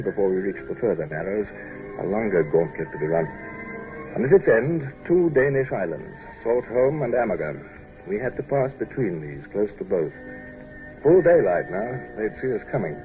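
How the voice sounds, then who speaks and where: An actor playing a middle-aged British naval commodore in an old-time radio drama, clipped, commanding and urbane, who also narrates the battle. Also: male voice, fairly low pitch, very animated, normal speed, over music. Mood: grave narration. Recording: dull, noisy old radio broadcast recording.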